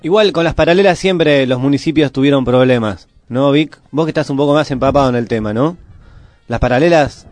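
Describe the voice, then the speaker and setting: A man's voice: mid-range voice, a little fast, darkish tone, dry recording. A man with a Buenos Aires Argentine accent chatting as a radio co-host, colloquial and fond of tag questions. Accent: Buenos Aires Argentine Spanish. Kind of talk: casual chat. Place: radio studio. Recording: music playing behind the voice. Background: music.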